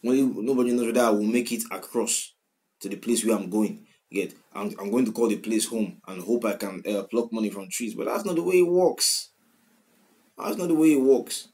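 A man's voice: lowish voice, moderate pace. Nigerian man in his twenties, emotional reactor. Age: 20s. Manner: emotional, animated, swings from loud outbursts to quiet mumbling. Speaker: music reaction host. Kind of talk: casual reaction commentary. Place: small room indoors. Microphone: webcam at desk, wearing headphones.

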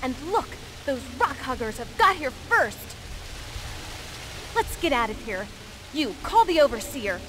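A woman's voice: high-pitched, sing-song, measured pace, long pauses, very noisy. A woman playing a bossy, short-tempered thief leader in a fantasy video game, barking impatiently. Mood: angry, impatient.

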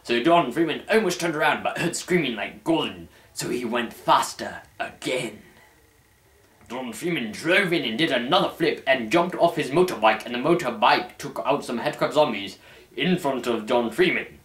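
A young man reads aloud like the host of a dramatic storytelling show. His mid-range voice rises and falls in an animated, mock-formal way. The sound is roomy, picked up by a camera mic in a home room. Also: medium pitch, moderate pace. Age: young adult. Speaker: host reading fan fiction aloud. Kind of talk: mock-dramatic scripted narration. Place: small indoor room at home.

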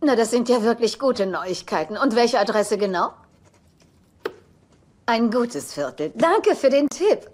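A woman's voice: a little high, big pitch swings, unhurried, long pauses. An actress playing a sly, self-serving older grandmother and estate agent, haughty, blunt and theatrical, in dubbed sitcom dialogue. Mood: brisk, callous. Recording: noisy TV soundtrack.

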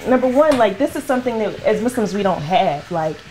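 A woman's voice: mid-range voice, expressive, a little fast, darkish tone, poor audio. A young woman raised in Florida, chatting informally and with some slang as an interview guest. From born in Liberia, raised in Florida, United States. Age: young adult. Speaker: guest, head of operations of a food business. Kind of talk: casual chat interview. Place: home kitchen, indoors. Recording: clear sizzling kitchen noise behind. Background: frying and sizzling food.

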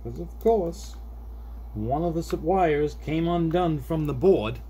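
A man's voice: fairly high pitch, warm tone, breathy edge. A middle-aged man talking casually and unhurriedly, half to himself, as he demonstrates a hands-on repair at a desk. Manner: unhurried, muttering to self while working. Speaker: hobbyist repair video host. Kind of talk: casual hands-on demonstration, narrating as they work. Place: small indoor desk workspace. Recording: faint background noise.

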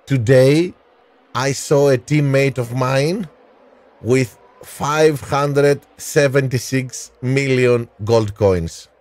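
A man in his thirties streaming a racing game live, calling strategy and answering his chat by name with frequent pauses, on a headset mic in a small room. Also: medium pitch, a little mellow. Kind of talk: live gameplay commentary and chat replies.

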